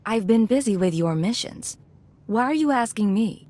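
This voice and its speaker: A woman's voice: on the high side, expressive, normal speed, dark tone. An actress playing a cool, self-assured female undercover agent in a video game, composed, guarded and dryly confident. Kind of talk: video game dialogue acting.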